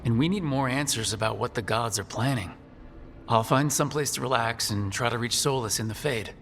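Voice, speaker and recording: A man playing a calm, supportive hero in a fantasy video game, thoughtful and reassuring. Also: medium pitch, a little fast, slight background noise, natural voice. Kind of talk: scripted video game dialogue.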